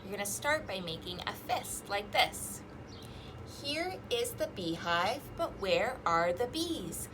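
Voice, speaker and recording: A woman hosting a children's storytime, reading rhymes and picture books aloud in an animated, sing-song, exaggerated way. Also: medium pitch, slow, background noise. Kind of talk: interactive children's read-aloud with rhymes and fingerplay.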